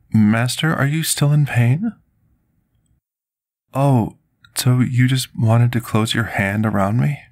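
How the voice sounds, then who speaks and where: Gentle fantasy potion master, played by a male actor: deep, gravelly, soft-spoken ASMR, politely submissive servant. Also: exaggerated voice. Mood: nervous, deferential. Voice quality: husky, gravelly. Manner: formal, polite, submissive, archaic wording. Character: gentle, timid, deferential male potion master serving a dragon.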